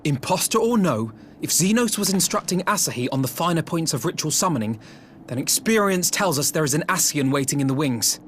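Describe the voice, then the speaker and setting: An actor playing an idealistic young scholar-diplomat in a video game, articulate, formal and persuasive. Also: male voice, on the high side, animated, brisk, slight background noise. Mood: earnest, determined. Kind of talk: video game cutscene acting. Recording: loud game music behind.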